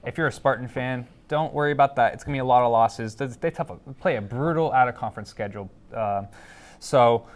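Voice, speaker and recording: A man's voice: medium pitch, fairly quick. A man giving opinions as a panelist in a casual sports discussion, often repeating words as he goes.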